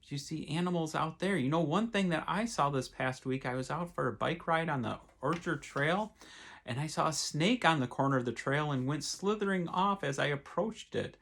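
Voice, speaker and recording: A man, a pastor, reading and talking to children in an expressive storytime style. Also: on the high side, normal speed, vocal fry. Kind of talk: expressive storybook read-aloud with casual asides.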